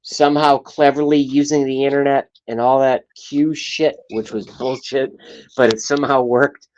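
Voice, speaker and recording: A man in his late thirties hosting a livestream talk show, rambling and profane, with his loudness swinging up and down. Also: mid-range voice, level tone, moderate pace, highs cut off, a little hiss or hum. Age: 30s.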